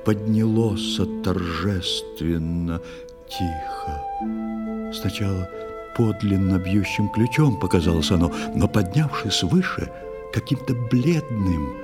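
A man with a low voice narrates dramatic verse as in a storybook audio play. His delivery is expressive and theatrical, with music clearly playing behind him. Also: slow pace.

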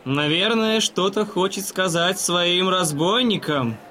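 An actor playing a smug, patronizing gentleman who explains things in a mannered way in an audio drama. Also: high-pitched, takes it slowly, heavily acted. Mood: condescending, explaining. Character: smug, condescending gentleman spectator courting a young lady.

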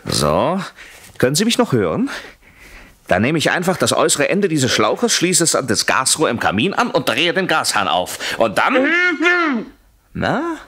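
A man playing a coldly polite, vengeful husband in a radio play, speaking in a husky, deliberate, menacing way that often drops to a whisper. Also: mid-range voice, wildly swooping pitch, bright, forceful tone, heavily acted. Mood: mock-courteous, gloating. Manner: mock-courteous, deliberate, menacing. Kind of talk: German radio play acting.

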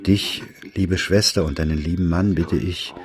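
A man's voice: deep voice, steady tone, moderate pace. An actor playing a solemn, pious man reading out letters of faith and repentance in a radio play. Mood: pious, earnest. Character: solemn, pious male letter writer, penitent and admonishing.

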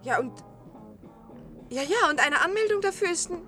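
An actress playing a polite, hesitant wife in a German radio play, speaking evasively. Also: female voice, very high voice, animated, moderate pace, sharp tone, full character voice. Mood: polite, eager. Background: music.

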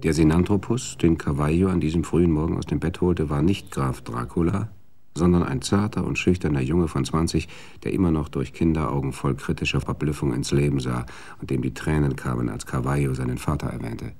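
A man narrating a radio drama in a measured, literary way. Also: a bass voice, steady tone, a little mellow. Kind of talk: scripted narration. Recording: faint music behind.

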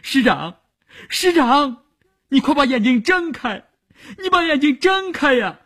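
Distraught wartime soldier: shrill, high, strained, pleading wail. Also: male voice, very animated, unhurried, fairly bright tone, heavily acted. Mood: desperate wailing. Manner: crying out, pleading. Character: distraught young soldier wailing for his wounded commander.

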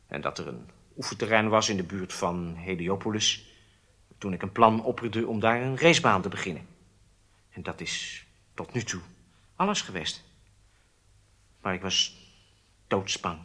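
An actor playing a frightened, compliant captured German spy in a radio drama, halting and submissive. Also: male voice, on the low side, pushed and bright, heavily acted. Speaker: prisoner under interrogation.

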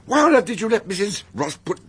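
An actor playing an irritable, upper-class older English colonel in a radio drama, gruff and spluttering. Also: male voice, sits high, sing-song, fast, full character voice. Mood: irritated, blustering. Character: blustering, irritable upper-class old colonel, jovial host.

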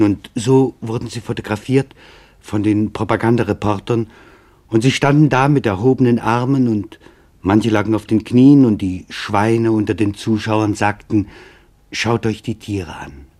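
A man playing a sombre reporter in a scripted radio play, narrating in a grave, measured, reflective way with a husky voice. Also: on the low side, a little mellow, close to an everyday voice. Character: sombre male reporter recounting a camp survivor's testimony.

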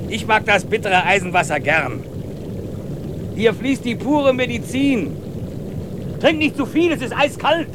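An actor playing a cheerful, knowledgeable hiker in a radio drama, explaining with enthusiasm. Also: male voice, very high-pitched, unhurried, long pauses, noisy background. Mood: enthusiastic.

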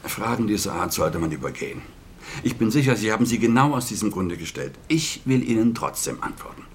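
A man's voice: on the low side, expressive, a little fast, slight background noise, natural voice. An actor playing a government spokesman at a press conference who answers reporters formally and with sarcasm. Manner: formal, curt, sardonic with reporters. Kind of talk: radio drama acting.

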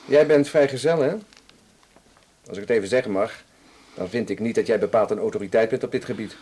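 An actor in a radio drama playing a grumpy, opinionated, old-fashioned police officer, blunt and coarse in manner. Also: male voice, on the low side, a little fast, a little mellow, slightly rough. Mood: opinionated, irritated.

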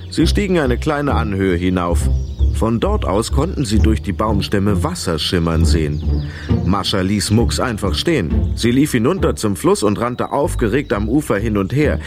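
A man narrating a children's adventure tale as the storyteller of a radio play. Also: lowish voice.

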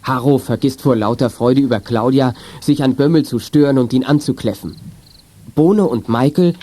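A man narrating a youth detective radio play in a measured, matter-of-fact, scripted manner. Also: medium pitch, mellow, natural voice.

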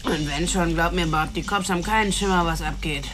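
A woman's voice: fairly low pitch, a little fast, music in the background, close to an everyday voice. A woman playing a brash, short-tempered prostitute turned killer in a radio drama, talking in coarse, bossy slang. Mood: impatient, taunting. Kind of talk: German radio drama acting.

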